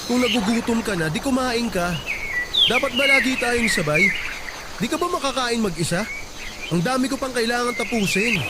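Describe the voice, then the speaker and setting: Curt, high-pitched Filipino boyfriend. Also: male voice, expressive, normal speed. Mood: irritated. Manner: curt, impatient. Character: irritable, cold male teacher, overworked live-in partner. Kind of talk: radio drama acting. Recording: loud noise with birdsong.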